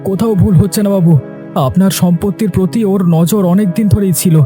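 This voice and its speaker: A man's voice: high voice, steady tone, quick pace, dark tone, close to an everyday voice. An actor playing a loyal village man in a cartoon, speaking in an earnest, grave and deferential manner. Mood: urgent, warning. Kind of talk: dubbed cartoon dialogue. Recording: music under the voice.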